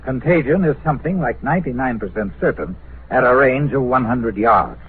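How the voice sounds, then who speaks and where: Actor as stern official on tinny old radio. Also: male voice, medium pitch, expressive, close to an everyday voice. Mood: stern, grave. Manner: formal, deliberate, grave. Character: stern, officious male government health commissioner. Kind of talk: mid-century American radio drama acting. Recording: tinny, noisy vintage radio recording.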